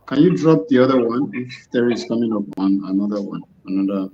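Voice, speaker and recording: A man's voice: fairly low pitch, fast talker, mellow, slight background noise. A man casually hosts and moderates a live discussion over an online video call. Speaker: host. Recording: dull, lo-fi internet call audio.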